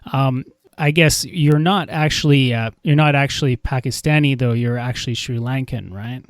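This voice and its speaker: Guy hosting a podcast interview, mid pitch, dry audio, chatty questions. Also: normal speed, no room sound. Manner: conversational, rising on questions.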